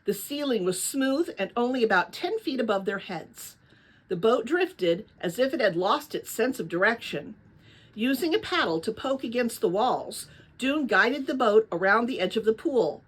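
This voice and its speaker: A woman reading a children's novel aloud as narrator, with dramatic, expressive storytelling. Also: on the high side, normal speed, husky.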